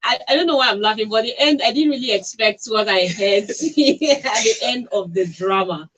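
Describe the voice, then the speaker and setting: A woman's voice: a little high, normal speed, a bit bright, rough recording. A woman with a Nigerian accent hosting a live talk radio show in a studio. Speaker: radio host.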